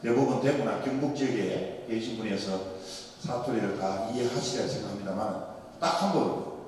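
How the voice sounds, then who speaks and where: A man's voice: lowish voice, normal speed, slight background noise. Male priest, Daegu accent, joking sermon storyteller, long church reverb. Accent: Daegu dialect. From Daegu, South Korea. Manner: animated, joking storyteller with rhetorical questions. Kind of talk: Lenten sermon lecture. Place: large church hall. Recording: echoey church hall, mic far off.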